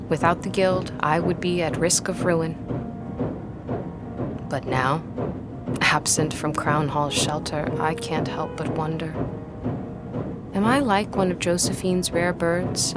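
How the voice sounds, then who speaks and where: An actress playing an earnest, wary young woman scholar in a period romance audio drama, speaking in formal, measured phrases. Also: fairly low pitch.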